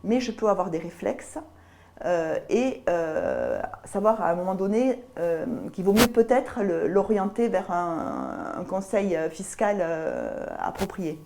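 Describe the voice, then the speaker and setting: Mellow southern French woman, slightly low voice. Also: wildly swooping pitch, slow pace, gravelly, a little hiss or hum. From south of France (Albi). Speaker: guest, business lawyer. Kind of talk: interview answers about career.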